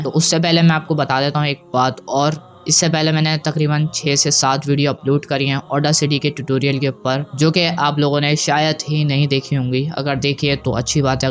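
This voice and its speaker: A man hosting a casual, step-by-step software tutorial. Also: a little high. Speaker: tutorial host.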